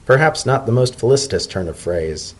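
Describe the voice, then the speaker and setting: A man's voice: medium pitch, lively intonation, husky. A man voicing a polite, thoughtful pony diplomat in a storybook reading, speaking formally and sincerely. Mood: tender, reflective. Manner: formal, measured, sincere speech. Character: earnest, polite male pony civil servant, thoughtful diplomat.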